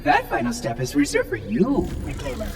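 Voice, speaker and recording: Fussy old machine caretaker from a game, super formal, lilting all over, kinda far off in a big hall. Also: male voice, high-pitched, wildly swooping pitch, moderate pace, music in the background. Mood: brisk, prim. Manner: prim, formal, theatrical. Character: fussy, officious floating ancient machine caretaker, cheerfully chilling. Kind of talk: video game cutscene acting.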